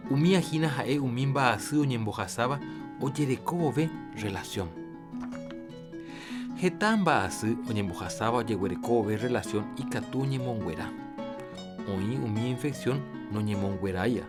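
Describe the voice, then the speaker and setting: A man with a Paraguayan accent giving scripted health education as a radio presenter, in a didactic, deliberate way. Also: medium pitch, lively intonation. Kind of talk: scripted educational narration in Jopara. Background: guitar music.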